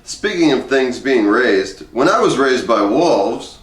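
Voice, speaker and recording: A man's voice: medium pitch, lively intonation, takes it slowly. A man reading the lines of an eager, know-it-all dad who was raised by wolves, in a chatty way. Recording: roomy echo, distant from the mic.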